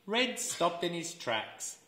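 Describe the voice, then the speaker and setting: A man reading a children's storybook aloud as an educator, expressive and deliberate, pausing for listeners to fill in words. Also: high-pitched. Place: indoors, seated in a room with wooden floor. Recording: roomy indoor camera sound.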